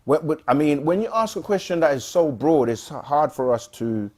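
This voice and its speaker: A man co-hosting a casual livestream, reading viewer questions aloud and answering them with frequent pauses and fillers. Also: a little high. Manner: relaxed, frequent "um" and restarts, reads questions aloud.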